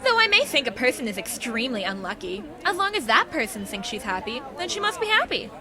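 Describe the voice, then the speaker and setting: A woman's voice: fairly high pitch, sing-song, fast, a crowd in the background. A woman playing a bossy, opinionated schoolgirl club leader in an anime-style audio drama, speaking assertively. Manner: assertive, declarative. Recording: music behind the voice.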